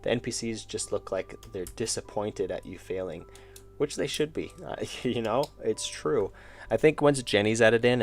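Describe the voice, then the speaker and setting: A man's voice: on the low side, darkish tone. A man chatting casually on a live stream while drawing, rambling and thinking aloud with restarts and chuckles, his voice wearing out. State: losing his voice. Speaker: streamer and indie game developer.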